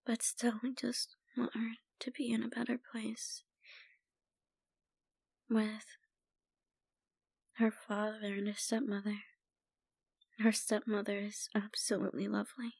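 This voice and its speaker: Troubled young female painter, played by a woman, ASMR roleplay. Slow, soft, hesitant delivery with a slightly creaky edge. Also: on the high side, flat delivery, dry recording. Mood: worried, subdued. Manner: halting, soft-spoken, with sighs and trailing phrases. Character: troubled young woman painter, a stranger venting family worries. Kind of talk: ASMR roleplay, intimate monologue.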